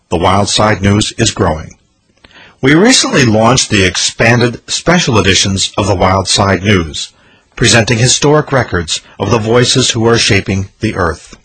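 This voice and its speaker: A man narrates a radio show's year in review in an earnest, promotional tone. His voice sits low and carries a husky grain. His intonation rises and falls in a lively way. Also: poor audio. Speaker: radio show host.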